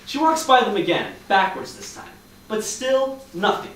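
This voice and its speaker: A brisk male narrator of a comic stage play, high and animated in pitch. He reads stage directions from a distance in a very echoey hall. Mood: narrating. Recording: echoey hall from far off, faint noise.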